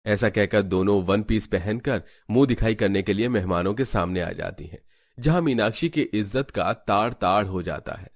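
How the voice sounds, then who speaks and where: A man's voice: lowish voice, normal speed. A man reading scripted narration for a family storybook cartoon.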